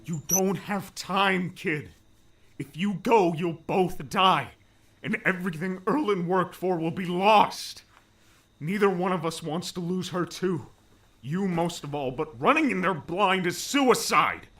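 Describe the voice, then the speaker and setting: A man acts out a worried male mentor in a dramatic audiobook. The voice is pushed high and bright, forceful and stern, with a protective, pleading manner. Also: a little slow. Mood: urgent pleading. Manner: forceful, urgent. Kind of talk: dramatic audiobook character acting.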